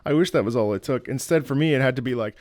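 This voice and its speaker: A man's voice: mid-range voice, quick pace, a little mellow, no echo, clean studio sound. A man hosting a music reaction video, an opera singer who talks enthusiastically and sings phrases along with the music. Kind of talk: casual music analysis.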